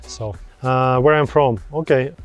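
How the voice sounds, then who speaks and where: A man in his thirties with a Russian accent talks through viewer questions about buying property. His pitch sits in an ordinary middle range, and his speech is loose and friendly, full of repeated words and non-native phrasing. Faint music plays softly underneath. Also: measured pace. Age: 30s. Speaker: real estate broker. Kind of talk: casual answers to viewer questions.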